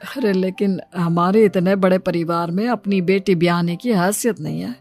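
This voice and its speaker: A woman's voice: lowish voice, moderate pace, darkish tone, lightly acted. Woman voicing an ageing, loving widowed father, music behind. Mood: gentle, earnest. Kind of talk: moral story character dialogue.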